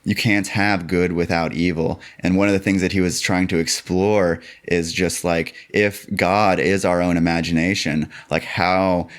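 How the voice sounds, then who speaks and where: A man's voice: low-pitched, level tone, a little slow, few pauses. A man talking as a podcast guest in a casual, rambling, philosophical way, often dropping in "like" and "you know". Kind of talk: casual conversational musing.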